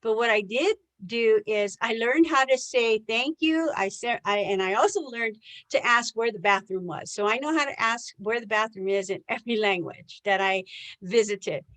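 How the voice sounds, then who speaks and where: Higher-voiced woman giving online sales training. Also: moderate pace, a bit bright. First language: English. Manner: engages listeners with rhetorical questions. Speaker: sales trainer presenting a webinar.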